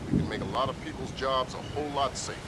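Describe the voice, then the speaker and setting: Measured mission commander in loud noise man acting. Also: mid-range voice, lightly acted. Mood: composed. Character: authoritative male mission commander, measured and dry. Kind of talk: video game acting. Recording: loud wind and engine noise behind.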